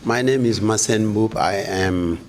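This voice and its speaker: Low-voiced Senegalese man addressing officials. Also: unhurried, a little mellow. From Senegal, West Africa. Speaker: school principal giving testimony. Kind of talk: informal public testimony to officials. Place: public hearing in a university hall. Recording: faint background noise, hall ambience.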